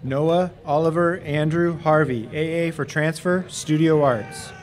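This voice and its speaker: Man announcing names, slightly higher voice. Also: unhurried, slight background noise. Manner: steady, formal announcing. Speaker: graduation name reader. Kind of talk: reading graduate names at a ceremony. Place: outdoor stadium commencement stage.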